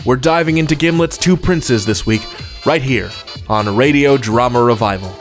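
A man hosting a podcast, reading a scripted introduction in a literary, rhetorical manner with deliberate phrasing. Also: medium pitch, soft background music. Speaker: podcast host.

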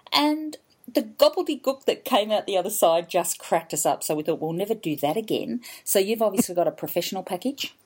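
Woman, Australian accent, podcast host, chatty, enthusiastic, husky, lowish voice. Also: darkish tone. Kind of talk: casual interview chat.

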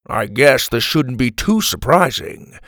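A man voicing a thoughtful older grandfather who speaks in a measured way. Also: mid-range voice, very animated, a bit bright, dry recording, clean studio sound.

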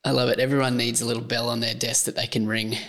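A man's voice: medium pitch, level tone, quick pace, fairly bright tone. A man with an Australian accent hosting a podcast, upbeat and friendly as he wraps up an interview. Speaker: podcast host. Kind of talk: interview hosting and scripted outro.

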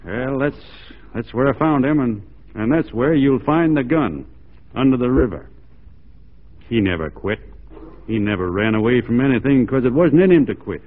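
A laconic, good-natured cowboy lawman, played by a man in an old radio drama. His voice is husky and he talks in halting, folksy understatement. The recording is thin, tinny vintage radio. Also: mid-range voice, expressive, lightly acted. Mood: sheepish, wry. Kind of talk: 1940s radio drama acting.

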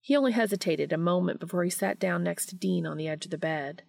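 Creaky-voiced woman narrating, close and dry. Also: fairly low pitch, fast, no echo. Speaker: audiobook reader of fan fiction. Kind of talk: scripted story narration.

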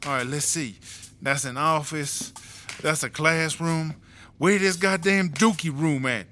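A brash female inmate in a comedy audio drama. The voice sits high and drawls through slangy, melodramatic grumbles and exclamations. Music plays clearly underneath. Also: measured pace, bright, forceful tone. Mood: muttering, relieved. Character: Chartreuse, brash streetwise female prison inmate, foul-mouthed, dramatic.

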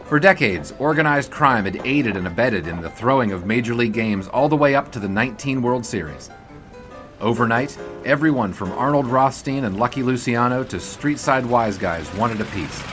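A man's voice: medium pitch, close to an everyday voice. An actor playing a cocky, flippant 1920s sportswriter in a period audio drama, wry and old-fashioned in his storytelling. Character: 1920s sportswriter, cocky, flippant charming man looking back. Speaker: narrator and lead character. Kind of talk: scripted period audio drama narration and dialogue.

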